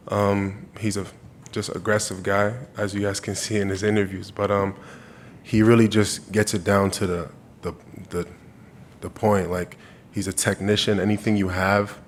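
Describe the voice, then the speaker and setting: Low, flat-voiced young man from Canada. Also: slight background noise. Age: 20s. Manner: earnest, repeats and restarts phrases. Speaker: college football player. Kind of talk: press conference answers. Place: media day press room.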